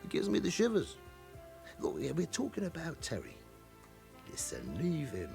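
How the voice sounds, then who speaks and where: An actor playing an evasive, chatty forty-year-old London club owner with an underworld past in a radio drama. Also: male voice, fairly high pitch, huge pitch swings, moderate pace, gravelly, darkish tone, soft background music, full character voice. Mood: pleading, protective.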